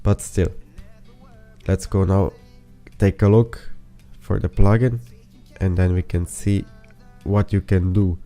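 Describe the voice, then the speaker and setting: Sick young man with a mellow low voice. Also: steady tone, slow pace, long pauses, soft background music. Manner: rambling, restarts sentences, many fillers. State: sick, voice a bit off. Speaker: plugin reviewer. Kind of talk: casual tutorial walkthrough.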